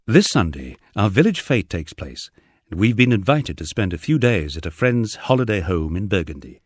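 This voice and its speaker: A man narrating a first-person memoir as an audiobook, reading in a measured, expressive storytelling manner. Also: sits low, dry recording, clean studio sound.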